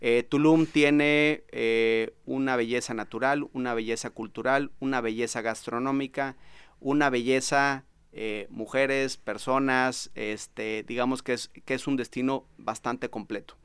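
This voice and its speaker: A Mexican man hosting a podcast from a small recording booth, speaking in a smooth voice with a mid pitch. The sound is dry and close. His style is friendly and loose, with easy laughter and plenty of "este" fillers. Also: fairly even intonation, measured pace, fairly bright tone, no room sound. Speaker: podcast host. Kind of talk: podcast interview.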